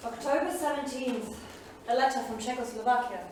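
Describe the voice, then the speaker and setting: An actress in a staged reading, playing a proud, earnest heroine in a solemn, dramatic manner. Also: female voice, a little high, normal speed, lightly acted. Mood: earnest, anguished. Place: theatre studio stage, far microphone. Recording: distant echoey theatre studio, faint noise.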